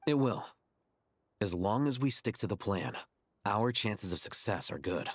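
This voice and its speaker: A man's voice: fairly low pitch, animated, unhurried, dry recording. An actor playing a composed young male scholar in a game cutscene, dry and matter-of-fact. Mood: calm, dry.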